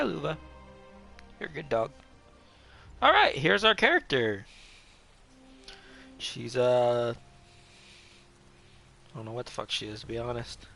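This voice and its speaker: A man's voice: medium pitch, creaky, bright, forceful tone. A man casually chatting while livestreaming a game, rambling, mocking himself and swearing offhandedly. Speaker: game streamer.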